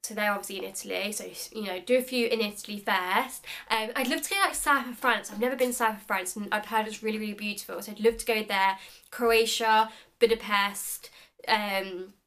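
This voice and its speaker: A young woman in her mid-twenties talks straight to her viewers in a relaxed, chatty vlog. Her delivery is upbeat and rambling, sprinkled with filler words. Her voice sits at an ordinary middle pitch for a woman. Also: fairly quick. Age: 20s. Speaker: beauty vlogger.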